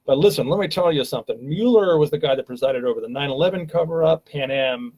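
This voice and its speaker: A man's voice: a little high, fast, a little mellow. A man hosting a live stream, talking casually and rambling with many fillers and restarts, from a home webcam.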